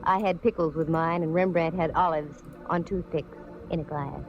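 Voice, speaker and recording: An actress playing a breezy, self-assured, hard-boiled private detective in 1940s radio style. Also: female voice, deep voice, steady tone, moderate pace, over loud music. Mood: wry narrating. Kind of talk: 1940s radio detective acting, narration and dialogue. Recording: muffled, noisy old radio recording.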